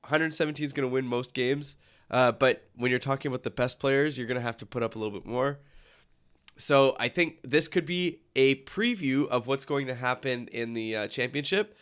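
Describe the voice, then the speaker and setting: A man's voice: mid-range voice, normal speed, fairly bright tone, smooth. Congested man hosting a sports podcast. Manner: rambling, lots of fillers and restarts. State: congested from a cold. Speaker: podcast host. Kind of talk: casual sports podcast talk.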